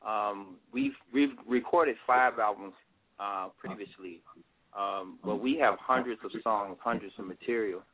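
A middle-aged man from Washington, D.C., a guest musician telling his story in a relaxed, rambling way. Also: fairly low pitch, unhurried, crowd noise. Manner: relaxed, rambling, many fillers. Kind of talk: casual interview. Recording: phone-like line.

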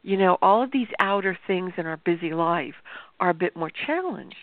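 A woman astrologer hosting a show, talking to viewers in a chatty, explanatory way, with a sore throat that makes her voice gravelly. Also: medium pitch, no echo. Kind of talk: informal talk-show monologue. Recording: tinny phone-like dry sound.

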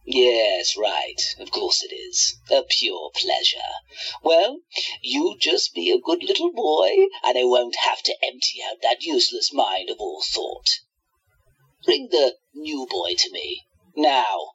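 A sadistic, playful tyrant from another world for a radio drama. The voice is husky and swoops wildly as it draws out mock-sweet taunts, with theatrical menace. The audio is slightly dull. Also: medium pitch, wildly swooping pitch, slow, fairly bright tone, heavily acted. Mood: gloating menace. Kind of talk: radio play acting.

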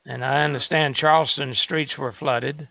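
Creaky-voiced man hosting over a phone-like line. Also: on the high side, steady tone, moderate pace, no echo. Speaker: radio show host. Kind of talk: casual live radio chat. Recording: tinny phone-like line, music behind.

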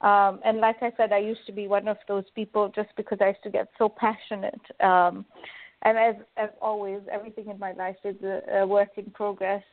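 A woman's voice: medium pitch, little pitch movement, fairly quick. Woman from kenya, guest on a radio chat, eager, lots of ums, tinny phone sound. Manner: earnest and eager, with frequent um and uh. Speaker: guest, magazine editor and life coach. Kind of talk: conversational interview. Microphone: phone call.

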